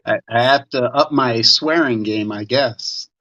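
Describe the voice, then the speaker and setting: A man's voice: mid-range voice, unhurried, sharp tone. A man joining a podcast as a guest, chatting casually in an easygoing, self-deprecating way. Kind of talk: casual chat. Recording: dull lo-fi call audio.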